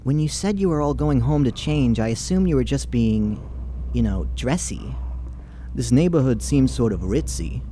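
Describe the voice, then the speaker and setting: A man's voice: medium pitch, expressive, moderate pace, warm tone, slight background noise. A man reading scripted narration and character dialogue aloud, as in a game readalong. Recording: music behind the voice. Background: music.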